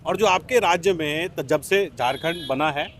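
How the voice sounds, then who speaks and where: A man conducts a vox pop interview as a reporter. His voice sits a little high. He is outdoors, with clear traffic noise around him. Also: a little slow, fairly bright tone. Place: outdoors in an urban area. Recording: noisy outdoor street with traffic.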